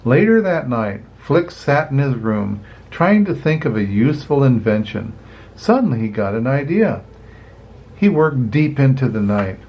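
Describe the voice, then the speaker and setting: An older man reads a picture book aloud like a grandparent, slowly and with plenty of rise and fall in his voice. He slips in chatty asides as he goes. The sound is a bit dull and lo-fi. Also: mid-range voice. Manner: expressive, unhurried, chatty asides. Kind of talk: children's storybook reading with asides.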